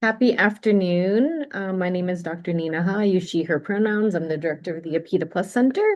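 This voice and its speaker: A woman's voice: on the low side, fairly quick, a little mellow, lo-fi sound. A woman directing a campus center, introducing a guest in a chatty, hesitant way with many fillers. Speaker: center director, event host. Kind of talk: welcoming introduction.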